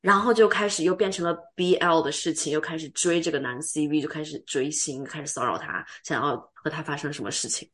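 A woman's voice: low-pitched, a little fast, few pauses, fairly bright tone. A woman co-hosting a podcast, chatting casually and emphatically about her opinions and mixing in English words. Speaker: podcast co-host.

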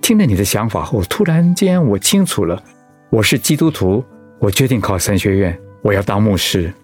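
An actor with a Taiwanese accent playing a gentle, ailing pastor and husband in a radio drama, earnest and reflective. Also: male voice, fairly low pitch, very animated, normal speed, soft background music. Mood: earnest, youthful. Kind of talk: Mandarin radio drama acting.

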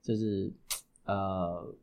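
A man with a Taiwanese Mandarin accent, explaining things as a guest in a casual interview, often halting and correcting himself. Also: low voice, fairly even intonation, highs cut off. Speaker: guest voice actor.

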